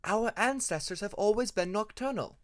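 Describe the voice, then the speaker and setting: A man's voice: high voice, expressive, moderate pace, pitched above the performer's own voice. A man voicing a stubborn dragon mother who lectures in a matter-of-fact, insistent way, for a storybook narration. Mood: lecturing, insistent.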